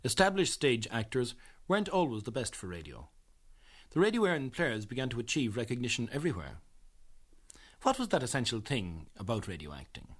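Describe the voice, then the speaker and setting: A man with an Irish accent presenting scripted documentary narration in a measured, earnest manner. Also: mid-range voice, big pitch swings.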